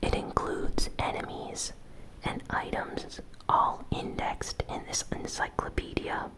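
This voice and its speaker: A woman hosting gaming news in a breathy ASMR whisper, delivering it softly and deliberately in short phrases. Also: low-quality recording. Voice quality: breathy, fully unvoiced whisper. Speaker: host of a gaming news show.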